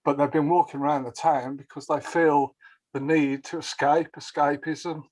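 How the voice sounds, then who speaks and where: Rambling man with a flat voice. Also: fairly high pitch, moderate pace. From England. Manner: rambling, restarts sentences. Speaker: caller to a local discussion show. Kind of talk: casual rambling opinion.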